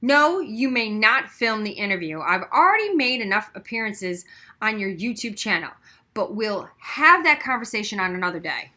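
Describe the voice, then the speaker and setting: A woman's voice: mid-range voice, big pitch swings, normal speed, sharp tone. A woman voicing a stern, sceptical female math teacher in a storybook, firm and no-nonsense.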